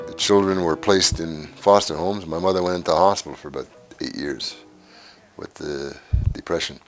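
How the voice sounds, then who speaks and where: A man in his sixties from Canada, recalling his life story in a documentary interview, unhurried and halting with frequent pauses. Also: low-pitched, faint music behind. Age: 60s.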